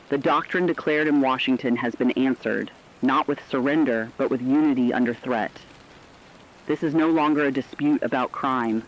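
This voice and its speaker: A man playing a serious news correspondent, giving scripted emergency analysis in a deliberate, foreboding way. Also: mid-range voice, close to an everyday voice. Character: sober news correspondent analysing a looming war. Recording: dull lo-fi radio sound, faint noise.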